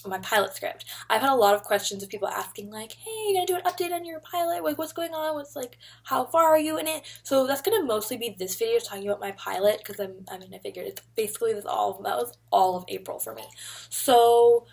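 A woman's voice: a little high, animated, fast talker, gravelly, breathy edge. A young woman in her twenties chatting to a webcam in her bedroom, rambling and gesturing as she talks. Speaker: vlogger. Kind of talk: casual chat to camera. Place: bedroom, indoors. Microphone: webcam at desk.

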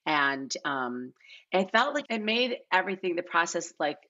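Middle-aged woman, low register, informal interviewee, slightly dull call audio. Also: sing-song, moderate pace, pushed and bright. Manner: informal, repeats herself for emphasis. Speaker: casting director, podcast guest. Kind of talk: casual podcast interview talk. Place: large living room at home.

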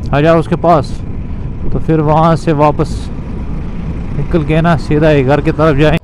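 A young man with a slightly high voice chats casually to his viewers as he rides a motorbike through town. He rambles in a loose, repetitive way, picked up by a mic on his camera rig, with faint traffic noise behind him. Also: level tone, a little slow. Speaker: moto vlogger. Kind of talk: casual narration while riding a motorbike. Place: outdoors on a motorbike in city traffic.

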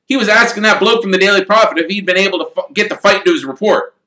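A man reading aloud and voicing a cheeky teenage boy wizard who talks quick, high and excited. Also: exaggerated voice. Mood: gleeful. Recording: echoey room.

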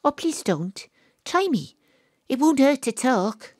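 A woman's voice: high voice, very animated, takes it slowly, darkish tone, no echo, studio quality, heavily acted. A woman playing a wry, world-weary private detective who narrates her own story in a confiding way in an audio drama. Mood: gentle. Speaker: narrator and lead character.

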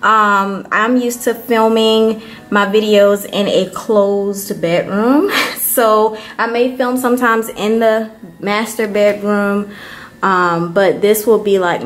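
A woman vlogger giving a casual, unhurried walkthrough of an empty apartment, filmed on a handheld camera. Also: mid-range voice. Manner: unhurried, chatty, many ums. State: hot and worn out from moving.

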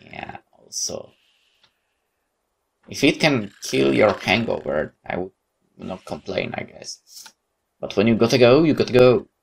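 A man's voice: on the low side, animated, a little slow, vocal fry, pauses often, slightly rough. A man hosting a gaming video, reading game text aloud and adding casual comments. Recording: faint game music behind the voice.

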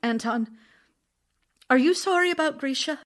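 A passionate, lovesick actress wife. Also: female voice, sits high, normal speed, a bit bright. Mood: grieving. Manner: theatrical, emotional, confiding. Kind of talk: audio drama reading of love letters.

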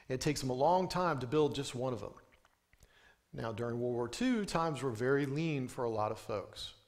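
A man in his thirties, a pastor giving a sermon from a pulpit microphone in a small church, telling stories in an earnest way and lifting his voice for emphasis. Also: mid-range voice. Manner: earnest storytelling with emphatic rising lines. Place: small church sanctuary, indoors.

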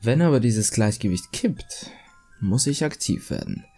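A man voicing a self-satisfied, calmly cruel murderer obsessed with beauty, in a measured, confiding horror monologue. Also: fairly low pitch, faint music behind. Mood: calm menace. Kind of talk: German creepypasta horror monologue, dramatic reading.

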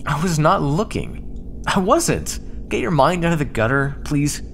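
A man's voice: fairly high pitch, sing-song, a little slow, gravelly, a bit bright, slight background noise. A young man acting out an ASMR roleplay in a teasing, bantering way.

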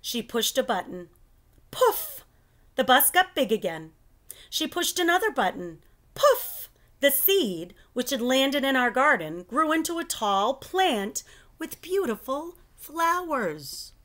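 A middle-aged woman reads a children's story aloud. Her voice sits fairly high and moves with lively, expressive swings. She takes her time, telling it deliberately as a teacher would. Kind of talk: expressive children's picture book read-aloud. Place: living room at home.

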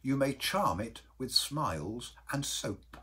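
A man voicing a kindly, solemn uncle in a storybook verse, earnest and deliberate as he advises his nephew. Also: medium pitch, big pitch swings, slightly rough, pitched above the performer's own voice, exaggerated voice. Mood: advising.

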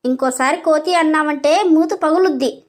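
A hot-tempered young woman acted out by a female narrator in story dialogue. Her voice sits extremely high, and she berates and challenges her boss with scolding insults. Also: normal speed, lightly acted. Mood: taunting. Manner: scolding, confrontational, insult-laden.

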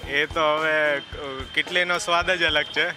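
A man's voice: on the high side, level tone, a little slow. Young man answering casually, a bit hesitant. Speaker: interviewee. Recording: music playing underneath.

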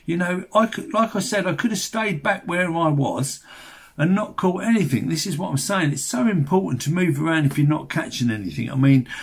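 A man's voice: on the high side, expressive, fairly quick, gravelly, slightly rough. An English man in his sixties narrating a fishing vlog in a chatty, rambling, colloquial way. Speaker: fishing vlogger.

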